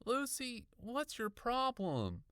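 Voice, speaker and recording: A man's voice: very high voice, huge pitch swings, takes it slowly, sharp tone, smooth, no room sound, clean studio sound, voice pushed up, exaggerated voice. A man voicing a dismissive, teasing young boy who sounds unimpressed, in a children's horror audiobook. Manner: muttering, unimpressed, mocking.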